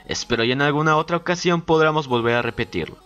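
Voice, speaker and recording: A man's voice: fairly high pitch, fast, fairly bright tone, smooth, faint music behind. A man giving scripted narration as a guest collaborator on an online video.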